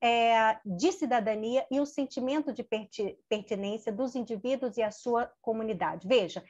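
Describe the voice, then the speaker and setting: A middle-aged woman giving an academic lecture over a video call, pausing with fillers and restarting her phrases. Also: fairly high pitch, darkish tone. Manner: hesitant, many fillers and restarts. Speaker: keynote speaker. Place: home office with bookshelves. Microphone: video call webcam. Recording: dull lo-fi video call audio.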